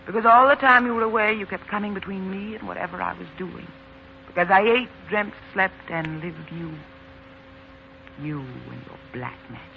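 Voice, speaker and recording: An actress playing a sharp-tongued, possessive young society woman in love, teasing and dramatic in 1940s radio style. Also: mid-range voice, moderate pace, vocal fry, slight background noise, natural voice. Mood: passionate. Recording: muffled 1940s radio broadcast.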